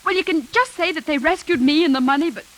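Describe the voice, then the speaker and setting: An actress playing a guarded, brave female hostage in 1940s radio noir style, speaking earnestly with hesitant pauses. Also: high-pitched, lightly acted, rough recording. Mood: anxious, pleading. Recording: hissy 1940s radio recording, rain behind.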